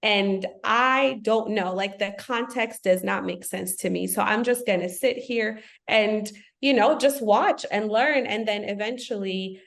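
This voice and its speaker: Woman from Ethiopia, podcast guest, earnest and reflective, mid-pitched voice. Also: measured pace, creaky, hardly any pauses, a bit bright. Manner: thoughtful, earnest, with frequent fillers. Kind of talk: podcast conversation.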